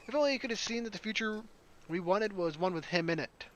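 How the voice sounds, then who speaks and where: A man's voice: high-pitched, animated, quick pace, a bit bright, dull audio, a little hiss or hum. A man hosting a gaming video, reading a visual novel aloud expressively and dropping in casual asides.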